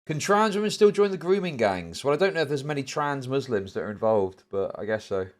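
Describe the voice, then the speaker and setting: A man's voice: medium pitch, fairly quick. Rambling, sweary British man livestreaming. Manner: rambling, sweary, animated storytelling. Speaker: livestream host. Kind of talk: casual chat to a livestream audience.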